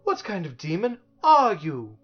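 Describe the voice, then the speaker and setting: A man's voice: high-pitched, wildly swooping pitch, a little slow, fairly bright tone, dull audio, soft background music, pitched above the performer's own voice, full character voice. A man voicing an earnest young boy from a storybook, protesting indignantly.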